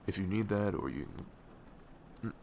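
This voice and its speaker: A man playing a tender, nervous best friend in an intimate ASMR roleplay, speaking softly and hesitantly in a low, gravelly voice close to the mic. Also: expressive, moderate pace, slight background noise. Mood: apologetic, awkward. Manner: hesitant, stammering, soft-spoken.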